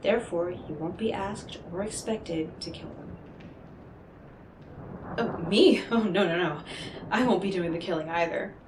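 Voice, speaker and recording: A woman playing an enigmatic, smooth-talking otherworldly stranger in an audio roleplay, speaking in a coaxing, knowing, theatrical way with dramatic pauses. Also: lowish voice, natural voice. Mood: amused, explanatory. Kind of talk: scripted audio roleplay monologue addressed to the listener. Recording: roomy echo, clearly noisy background.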